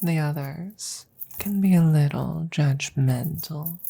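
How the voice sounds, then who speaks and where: Woman acting a soft, breathy, unhurried witch. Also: deep voice, exaggerated voice. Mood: confiding, wry. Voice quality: soft, breathy, often slipping into whispers. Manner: unhurried, gently teasing, with soft chuckles. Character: wry, affectionate young witch soothing her werewolf familiar. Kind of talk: ASMR audio roleplay, intimate close-up delivery.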